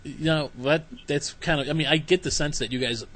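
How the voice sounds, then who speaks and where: A man's voice: fairly high pitch, steady tone, fairly quick, fairly bright tone. A man hosting a podcast, chatting casually in a rambling, self-deprecating way with his guests. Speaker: podcast host interviewing guests. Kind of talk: casual conversational interview. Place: home basement podcast setup. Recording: faint background noise, home podcast.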